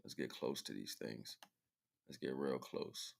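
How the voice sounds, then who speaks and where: An extremely low-pitched man hosts a casual livestream. He rambles informally as he explains what he is showing, and keeps checking that the listener follows with short tag questions. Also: unhurried, creaky. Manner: informal, rambling, repeatedly checking in with "right?" and "you know what I'm saying". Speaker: livestream host. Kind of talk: casual livestream commentary on pictures.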